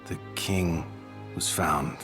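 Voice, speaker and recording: Brief: stoic game bodyguard, very low and solemn, music clearly behind. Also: male voice, steady tone, extremely slow. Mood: somber. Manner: solemn, weighty. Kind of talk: video game cutscene acting.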